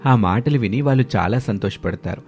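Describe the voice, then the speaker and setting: A man's voice: on the low side, a little fast, darkish tone. A man narrating a scripted moral story as a storyteller. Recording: background music under the voice.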